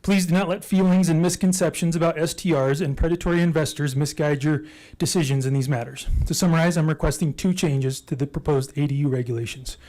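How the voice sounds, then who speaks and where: An older man in his sixties reads his prepared remarks to the council with calm persistence. He leans on facts and keeps an even, persuasive line, and his voice sits slightly higher than most men's. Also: poor audio. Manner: measured, persuasive, data-driven appeal. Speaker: public commenter. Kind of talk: reading a prepared public comment.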